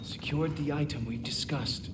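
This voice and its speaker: A man acting as a smug, condescending servant of a god-king in a video game, drawling deliberately. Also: fairly high pitch, fairly even intonation, background noise, lightly acted. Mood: smug, disdainful.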